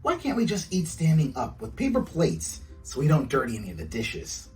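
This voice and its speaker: A man's voice: on the high side, wildly swooping pitch, normal speed, darkish tone, recorded from a distance, faint music behind, voice pushed up. A man voicing a curious, grumbling six-year-old boy in a storybook. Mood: whiny.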